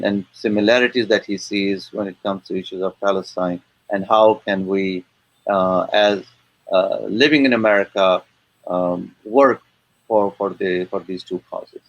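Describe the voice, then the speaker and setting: A man hosting an online webinar and introducing guests, speaking in a hesitant, halting way with repeated words. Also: fairly low pitch, fairly even intonation, highs cut off. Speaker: webinar host.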